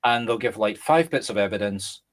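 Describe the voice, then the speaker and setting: A Scottish man, a medium and co-host, chatting casually and explaining through lively examples. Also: lowish voice, normal speed. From Scotland. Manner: animated, explains through examples and acted-out quotes. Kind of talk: casual conversation.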